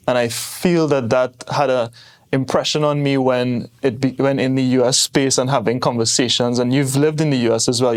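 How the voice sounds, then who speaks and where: A man from Trinidad hosting a podcast interview, asking long, easygoing questions. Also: mid-range voice. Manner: relaxed, asks long, rambling questions. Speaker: podcast host.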